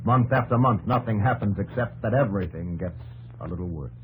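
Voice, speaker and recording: A man's voice: on the low side, fairly quick, phone-quality sound. A man narrating a 1950s radio documentary in a grave, theatrical, declamatory style. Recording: old radio broadcast under loud music.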